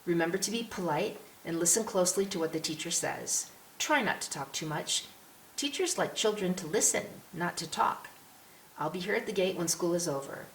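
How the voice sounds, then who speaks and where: A woman's voice: fairly low pitch, normal speed, natural voice. A woman voicing a tender, patient young French mother in an audiobook, soothing and instructive. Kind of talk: audiobook character voice. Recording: roomy echo with clear hiss.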